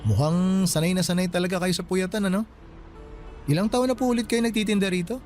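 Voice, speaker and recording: An actor playing a friendly Filipino night guard who talks respectfully and deferentially in a radio drama. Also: male voice, sits high, steady tone, fast, a little mellow, soft background music, close to an everyday voice. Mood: friendly, curious. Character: polite, friendly male night guard named Melo.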